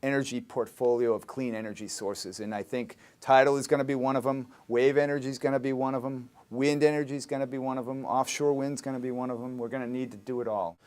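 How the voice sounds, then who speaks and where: A man's voice: mid-range voice, steady tone, quick pace, few pauses, a little mellow. A man in his forties speaking firmly in an interview, running through a list of points. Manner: firm, listing points. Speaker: energy expert interviewee. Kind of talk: interview statement. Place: indoor interview room.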